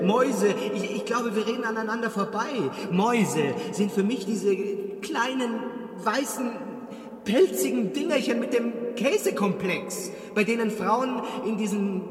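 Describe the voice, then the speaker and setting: A man's voice: extremely high pitch, expressive, measured pace, recorded from a distance. An actor playing a bewildered, earnest ordinary Earthman in a German radio drama, stammering and repeating himself in protest. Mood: puzzled. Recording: echoey hall, off mic, reverberant.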